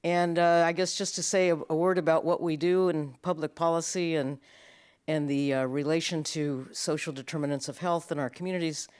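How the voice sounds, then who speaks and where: A woman, president of a medical association, speaking as a panelist in a measured way with some fillers. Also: sits low, steady tone, darkish tone, smooth. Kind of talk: panel remarks. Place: conference event hall.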